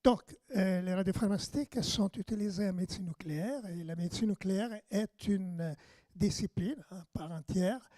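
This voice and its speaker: A man, giving a hoarse, halting conference lecture on radiochemistry. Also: high voice, dark tone, dry recording. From Italy. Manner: halting, many fillers and restarts. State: hoarse, lost his voice.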